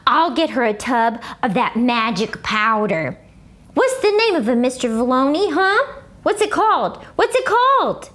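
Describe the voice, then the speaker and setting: An excitable first-grade boy acted by a woman from a storybook. The voice is high and jumps around in pitch, pressing each point with urgent, insistent eagerness. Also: a little slow, exaggerated voice. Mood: excited, pleading. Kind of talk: children's book read-aloud.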